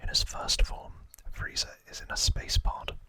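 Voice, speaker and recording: A man whispering trivia as an ASMR host, in a hushed, informal and unhurried explaining manner. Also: dry recording.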